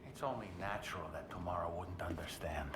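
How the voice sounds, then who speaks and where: A man playing a serious, deliberate colleague in a cinematic sci-fi game, speaking formally. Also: sits low, background noise. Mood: matter-of-fact. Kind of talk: cinematic game cutscene acting.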